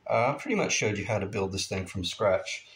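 A man in his fifties giving a relaxed, rambling guitar-building tutorial at his workshop bench, with many "uh" fillers. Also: on the low side, fairly quick, slight background noise. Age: 50s.

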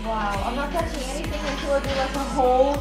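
A woman in her thirties filming a bubbly, excitable travel vlog on a handheld camera, laughing and exclaiming as she goes. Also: high voice, quick pace, over loud music. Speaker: vlogger. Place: outdoors and in resort rooms, on the move. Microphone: handheld action camera.

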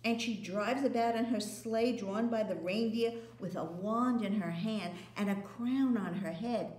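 Woman doing an excited little girl. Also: mid-range voice, a little slow, close to an everyday voice. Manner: excited, breathless telling. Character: excited kind little girl, bright and earnest. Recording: roomy echo.

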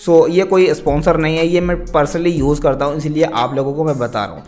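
A man's voice: on the high side, fairly quick, darkish tone. A young adult man gives instructional advice straight to viewers in a vlog style. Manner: instructional, addresses viewers repeatedly. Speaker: tech video creator and host. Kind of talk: direct-to-camera product advice vlog. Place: small decorated indoor room. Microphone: wired lavalier held in hand. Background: background music.

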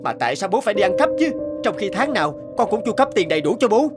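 An impatient, proud grown son in an animated tale. His voice is fairly high and very animated, with an emphatic scolding delivery. Music is clearly heard behind him. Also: quick pace. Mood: exasperated. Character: impatient, busy adult son, proud and easily exasperated. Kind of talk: animated moral story dubbing.

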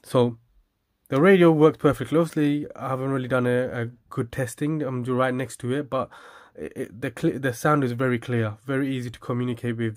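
Man giving a halting, casual product review. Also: medium pitch, darkish tone, no echo, studio quality.

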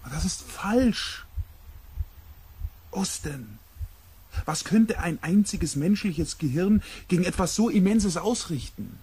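A man playing an urgent, sceptical expedition scientist with a husky, breathy voice in a science fiction radio play. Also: high voice, animated, slow pace, long pauses, warm tone, exaggerated voice. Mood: probing, urgent.

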